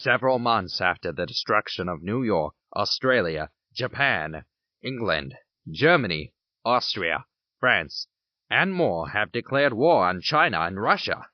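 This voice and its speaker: A man's voice: on the low side, sing-song, a little slow, dry recording. A man playing an urgent, patriotic war-time radio announcer reading theatrical scripted news bulletins. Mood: solemn. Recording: dull band-limited radio broadcast sound.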